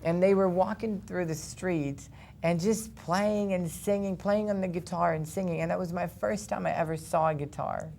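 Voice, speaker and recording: A young man speaks English with a gravelly, creaky edge. He tells his story earnestly, picking up speed in animated bursts, with a faint rumble in the background. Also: moderate pace. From Ukraine. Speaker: guest. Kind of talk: personal testimony in a studio interview.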